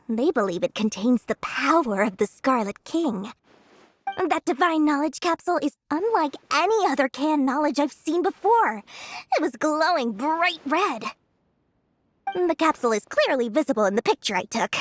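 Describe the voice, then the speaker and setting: A woman playing a sly, greedy young female merchant in an anime game, flattering customers in flowery, theatrical sales talk. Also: sits high, moderate pace. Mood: conspiratorial.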